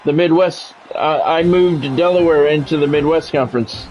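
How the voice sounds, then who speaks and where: A man's voice: on the high side, moderate pace, darkish tone, lo-fi sound. A man livestreaming a video game, giving casual commentary and chatting with viewers in a repetitive, explanatory way.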